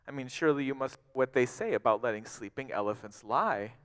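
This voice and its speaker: A man's voice: mid-range voice, fairly quick, fairly bright tone, clean tone, natural voice. A man voicing an aloof gazelle in a storybook tale, mild and self-justifying, in an echoing hall. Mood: evasive, detached. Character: aloof gazelle who avoids getting involved. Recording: long echoing hall reverb.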